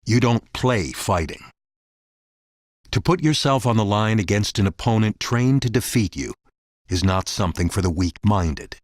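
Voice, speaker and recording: A man reading dramatic scripted sports promo narration in a solemn, weighty, deliberate manner. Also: fairly low pitch, expressive, no echo, studio quality.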